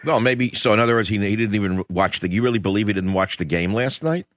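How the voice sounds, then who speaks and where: A man's voice: on the low side, fast, dry recording. Sardonic, rambling radio talk-show man. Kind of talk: opinionated radio monologue and call-in chat. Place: radio studio. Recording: dull lo-fi radio broadcast.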